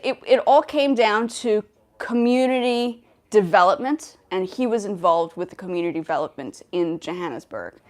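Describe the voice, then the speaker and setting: Chatty woman hosting a livestream. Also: mid-range voice. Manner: rambling, many fillers and restarts. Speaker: livestream host. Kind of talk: casual livestream chat.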